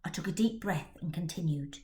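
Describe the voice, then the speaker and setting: A woman author reading her novel aloud, dramatic, dropping to hushed whispers for suspense. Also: low-pitched, fairly quick.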